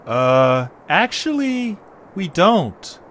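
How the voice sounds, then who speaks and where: A man's voice: sits high, sing-song, drawn-out words, a bit bright, full character voice. A man voicing a loyal, reassuring young adventurer in an audio drama. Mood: puzzled. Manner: friendly, reassuring. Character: loyal, easygoing, helpful young male adventurer. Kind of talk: cartoonish audio drama acting. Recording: faint background noise.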